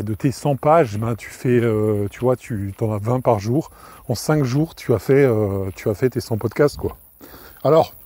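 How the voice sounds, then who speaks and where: A man's voice: mid-range voice, warm tone, no echo. A French-speaking man with a cold, talking casually and informally to the listener, with many fillers and restarts. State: has a cold, coughing. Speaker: podcast host.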